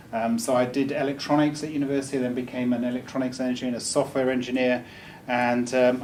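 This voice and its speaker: A man's voice: medium pitch, darkish tone. Joking man, heard from afar. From Wales. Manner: chatty, joking, with many ums and restarts. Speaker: speaker. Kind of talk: informal talk to a live audience. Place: meeting room. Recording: distant mic with faint room noise.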